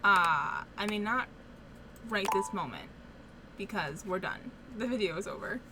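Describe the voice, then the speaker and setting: A young woman hosting a let's play, chatting excitedly while she loses her voice a little. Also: mid-range voice, unhurried, heavy background noise. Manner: chatty and excitable, thinking aloud. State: slightly hoarse, losing her voice. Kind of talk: casual gaming commentary. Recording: voice over loud game music.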